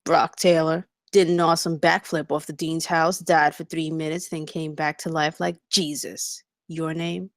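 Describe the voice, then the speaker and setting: A woman's voice: deep voice, level tone, normal speed, hardly any pauses, dry recording, studio quality. A woman playing a cocky, suspicious frat bro in his twenties at a comedy script table read. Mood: cocky, probing.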